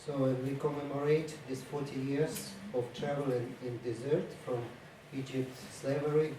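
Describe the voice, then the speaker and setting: A man who is not a native English speaker gives a formal conference speech in a halting, hesitant way. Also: mid-range voice, little pitch movement. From Ukraine-born, lived mostly in Estonia. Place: indoor conference room. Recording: echoey room, distant mic, faint noise.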